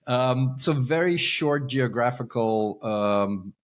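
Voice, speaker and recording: A man's voice: medium pitch, lively intonation, takes it slowly. A middle-aged man from Ukraine, raised around New York, who explains things at ease as a guest on a podcast. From Ukraine, raised in New York and New Jersey. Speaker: guest, company co-founder. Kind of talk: conversational interview.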